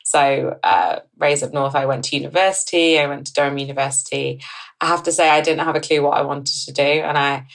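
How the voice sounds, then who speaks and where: A woman's voice: sits low, fairly even intonation, fairly quick, gravelly. A woman in her thirties from northern England, a company co-founder, talking in a chatty way on a podcast. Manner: chatty, with frequent ums and restarts. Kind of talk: podcast interview.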